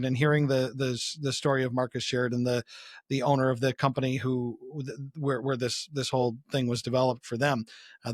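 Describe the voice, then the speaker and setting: A man answering as a podcast guest, telling anecdotes casually and haltingly as he thinks aloud. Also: mid-range voice, no room sound, studio quality. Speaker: podcast guest, company chief executive.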